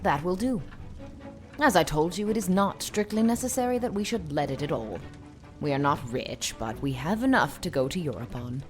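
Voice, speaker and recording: A woman's voice: low-pitched, expressive, moderate pace, soft background music, pitched below the performer's own voice. A woman voicing a grim, blunt old lady of seventy in an audiobook, with stern, emphatic, old-fashioned pronouncements. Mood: grave, frank. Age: older. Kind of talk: audiobook character voice.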